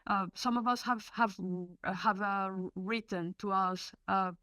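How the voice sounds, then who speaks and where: A woman's voice: medium pitch, fairly even intonation, unhurried, bright, forceful tone, no room sound. A woman from Colombia, an English speaker, presenting in a webinar with many fillers and restarts. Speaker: research program presenter. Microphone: video call.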